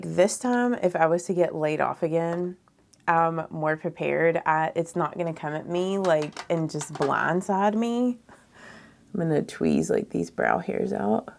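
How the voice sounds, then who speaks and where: A woman in her thirties with a froggy, raspy voice, chatting candidly and ramblingly as a beauty vlogger doing a makeup tutorial indoors. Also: low-pitched, a little mellow, a little hiss or hum. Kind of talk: casual chat during makeup tutorial. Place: small furnished room indoors.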